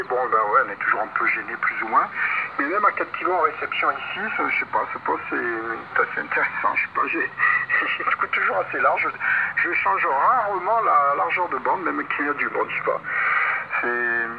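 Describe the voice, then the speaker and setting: A man's voice: on the high side, lively intonation, moderate pace, vocal fry. A man chatting casually as an amateur radio operator, rambling and repeating himself over a radio transmission. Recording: muffled shortwave radio, heavy static and hum. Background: loud radio noise.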